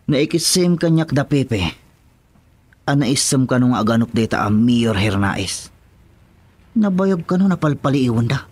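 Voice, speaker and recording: A man's voice: medium pitch, expressive, a little slow, long pauses, a little mellow, breathy edge, no room sound. An actor playing a cautious, scheming henchman in a radio drama, speaking with an Ilocano accent. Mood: conspiratorial. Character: scheming henchman, cautious and loyal to his boss.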